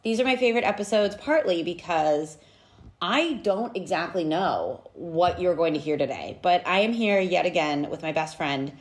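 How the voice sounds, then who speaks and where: A woman's voice: fairly low pitch, lively intonation, normal speed. A woman in her thirties, a podcast host and nutrition coach, chatting casually and upbeat with her best friend. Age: 30s.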